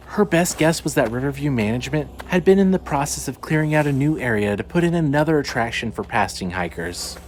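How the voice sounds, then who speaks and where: Guy narrating a dramatic story, voice moves up and down a lot, faint noise behind. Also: mid-range voice. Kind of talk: dramatic scripted fiction narration. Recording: faint background noise and ambience.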